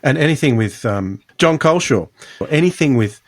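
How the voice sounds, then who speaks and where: A male podcast host with a mid-range voice in relaxed-format conversation. He speaks informally and a bit haltingly, starting phrases again as he works out his thought. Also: medium pitch. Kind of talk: casual chat.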